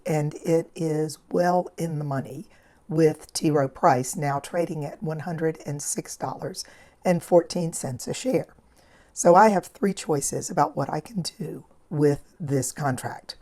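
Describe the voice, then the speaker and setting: A hoarse woman with a rough, scratchy voice, recovering from laryngitis, methodically walking through an investing trade as the channel's host. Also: low voice. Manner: methodical, steady explaining of trade steps and figures. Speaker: financial educator and channel host. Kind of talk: screen-recorded investing walkthrough.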